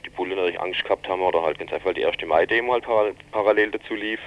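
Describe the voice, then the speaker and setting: A man being interviewed as an eyewitness, talking informally and haltingly, often rephrasing his sentences as he goes. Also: low-pitched. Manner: halting, rambling, keeps reformulating sentences. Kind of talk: spontaneous informal interview account. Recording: dull, band-limited radio interview recording.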